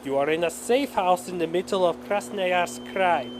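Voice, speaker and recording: A man's voice: high-pitched, moderate pace, noisy background, natural voice. A man playing a gloating, vengeful Russian official in an audio drama, with a theatrical delivery.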